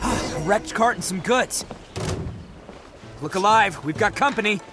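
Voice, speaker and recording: An actor voicing a cocky, boisterous young oni gang boss in a video game, slangy and swaggering. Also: male voice, high voice, lively intonation, a little slow, music in the background. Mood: reflective, upbeat.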